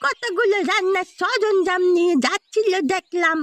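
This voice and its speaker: A woman voicing a village wife in a cartoon, animated and expressive. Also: very high-pitched, normal speed, no room sound. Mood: earnest, gossiping. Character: village women, a peasant's wife and her elderly mother-in-law. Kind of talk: Bengali cartoon voice acting.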